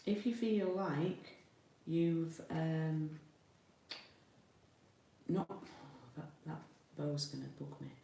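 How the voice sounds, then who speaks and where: Low, roomy-sounding Yorkshire woman, chatting casually. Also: extremely slow, vocal fry, warm tone. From Yorkshire, England. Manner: chatty, informal, thinking aloud while working. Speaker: card-making demonstrator, host. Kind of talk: casual live craft tutorial. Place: indoor room with a window. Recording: roomy, distant mic, faint noise, clicks.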